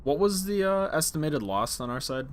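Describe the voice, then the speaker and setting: A man's voice: a little high, sing-song, normal speed. A man hosting a casual group chat where gamers share stories. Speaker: host leading a gaming story session.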